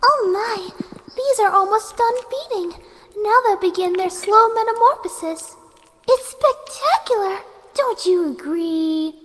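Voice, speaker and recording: A young female pegasus pony in a horror story reading. The voice is extremely high, soft and pleading, with an unsettling sweetness. It rings in a long hall-like reverb. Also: lively intonation, a little slow. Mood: eager, delighted. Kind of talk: dramatic horror fan-fiction reading.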